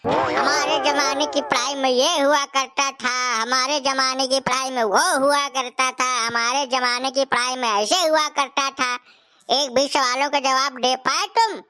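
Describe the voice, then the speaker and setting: Woman playing a bright, high-pitched cheeky village fellow. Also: fairly even intonation, a little slow, full character voice. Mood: scolding, triumphant. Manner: taunting, colloquial, insistent. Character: cheeky, argumentative younger village man, teasing and insistent. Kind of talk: comic cartoon dialogue.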